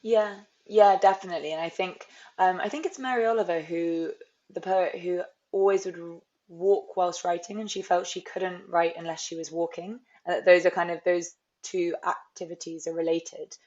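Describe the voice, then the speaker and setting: Low-voiced London woman, reflective. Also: fairly even intonation, moderate pace, a little mellow. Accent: London English. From London, England. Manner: thoughtful, rambling with restarts. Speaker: author guest. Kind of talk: reflective interview talk. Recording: slightly dull, lo-fi audio.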